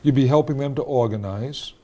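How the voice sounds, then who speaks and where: A man's voice: medium pitch, a little fast, warm tone. A man hosting a local cable interview, steering with leading questions and checking facts with his guest. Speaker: talk show host.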